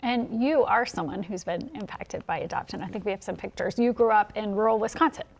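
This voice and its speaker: A woman's voice: medium pitch, fairly quick, vocal fry, slight background noise. A woman hosting a TV news interview show, leading the conversation in a friendly, conversational way.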